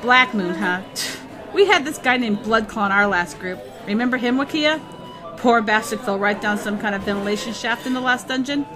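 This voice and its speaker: Brash, confident swordswoman played by a woman, highish voice. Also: moderate pace, lightly acted. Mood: wry. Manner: bold, blunt, boastful. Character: brash, confident female swordswoman, sexy and boastful. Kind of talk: fantasy comedy audio drama acting. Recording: music under the voice.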